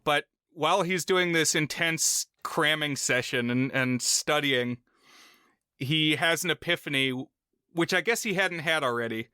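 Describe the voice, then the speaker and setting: A man's voice: a little high, unhurried, bright, forceful tone, clean tone, dry recording. A man co-hosting a film podcast, recapping the plot with wry, dry humor. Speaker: podcast co-host. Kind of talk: casual chat, recapping a film.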